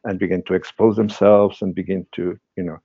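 A low, gravelly-voiced man whose first language is Portuguese talks as a therapist guest. He hesitates constantly, restarting phrases and filling gaps with "uh" and "you know." The audio is dull and lo-fi. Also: little pitch movement, dark tone. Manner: halting, many fillers and restarts. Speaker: psychotherapist guest. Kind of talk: casual interview talk.

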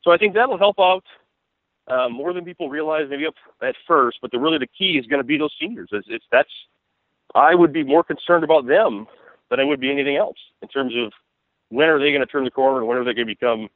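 A man's voice: medium pitch, quick pace, dry recording. A man giving casual sports analysis as an interview guest, thinking aloud in a rambling, conversational way. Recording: tinny, dry phone-like radio line.

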